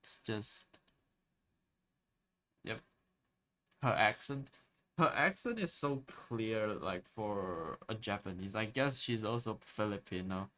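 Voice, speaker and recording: A man's voice: on the low side, lively intonation, slow, long pauses, bright, forceful tone. A young man with a Malaysian English accent, a native Chinese speaker, chatting casually and rambling on stream with lots of fillers and restarts. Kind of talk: casual chat while drawing on stream.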